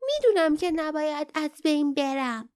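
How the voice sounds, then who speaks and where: A woman's voice: very high-pitched, expressive, voice pushed up. A woman voicing a small, young flying creature, innocent, eager and brave, with a wide-eyed, breathless, childlike manner in a storybook. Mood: confident, excited.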